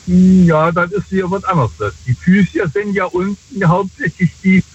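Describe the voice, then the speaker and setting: A man calling in by phone, chatting casually in a rambling, halting, colloquial way with frequent tag questions. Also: high-pitched, fairly even intonation, husky. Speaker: phone-in caller. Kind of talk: casual chat. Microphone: telephone. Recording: dull lo-fi phone call.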